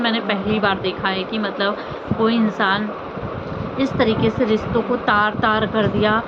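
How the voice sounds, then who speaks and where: Woman vlogging over loud street noise, muffled sound. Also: fairly high pitch. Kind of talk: casual vlog commentary on family drama. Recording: muffled audio with loud outdoor traffic noise.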